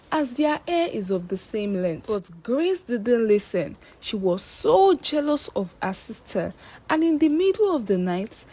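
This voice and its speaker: A woman's voice: on the high side, darkish tone, slightly rough. A woman narrating a scripted folktale as a storyteller.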